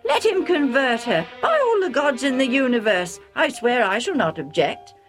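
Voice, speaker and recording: A woman's voice: high-pitched, sing-song, normal speed, faint music behind, voice pushed up. A woman voicing a proud, scornful foreign father who blusters and mocks. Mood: indignant. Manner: blustering and sarcastic.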